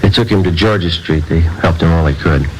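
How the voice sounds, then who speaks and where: Man playing a low, deadpan American police detective. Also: brisk, slight background noise, natural voice, low-quality recording. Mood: grave, restrained. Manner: deadpan, matter-of-fact, clipped. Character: terse, stoic male police detective sergeant on night watch. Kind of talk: 1950s radio police drama, clipped narration. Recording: old radio recording, loud music behind.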